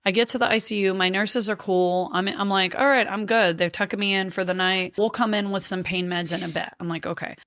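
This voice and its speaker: A woman's voice: mid-range voice, fairly even intonation, brisk, hardly any pauses, a bit bright. A woman nearing forty hosts a podcast and tells her own story in a blunt, profane, unfiltered way, breaking into laughter often. Her voice sits in the middle range. The sound is dry and close, with no room echo. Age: 30s. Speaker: podcast host. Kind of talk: casual storytelling chat.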